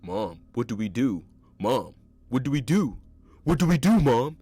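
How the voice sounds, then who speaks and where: A man's voice: mid-range voice, very animated, unhurried, warm tone, pitched above the performer's own voice. A man voicing a desperate young man who screams and swears at an attacker. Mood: screaming. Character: desperate young man, brash, furious older brother. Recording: clear background noise.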